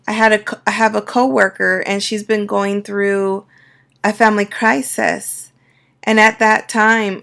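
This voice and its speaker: A woman coach talks to her viewers in a soft, reflective way. Her voice is raspy from a recent cold and has a gravelly fry. She speaks slowly and pauses often. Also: mid-range voice. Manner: soft, unhurried and reflective, with many fillers. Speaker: astrology and life coach. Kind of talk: personal talk to camera.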